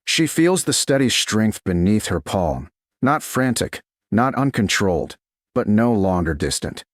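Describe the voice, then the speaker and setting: A man narrating scripted romance fiction slowly and dramatically, in measured, deliberate phrases. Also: fairly low pitch, sing-song, dry recording, clean studio sound.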